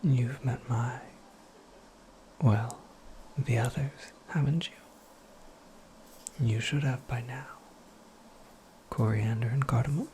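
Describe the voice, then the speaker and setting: A performer voicing an eccentric, absent-minded witch in a breathy, creaky ASMR roleplay, rambling with wry, cryptic politeness. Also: medium pitch, steady tone, slow pace, long pauses, dark tone, a little hiss or hum, low-quality recording. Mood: politely whimsical. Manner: rambling, whimsical, politely formal, self-correcting. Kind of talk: soft-spoken ASMR roleplay monologue.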